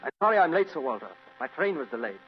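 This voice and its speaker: Young lawyer, very quick speech, varied pitch, formal, polite, muffled old radio recording. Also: male voice, sing-song, soft background music. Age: young adult. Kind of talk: old-time radio drama acting. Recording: dull vintage radio recording.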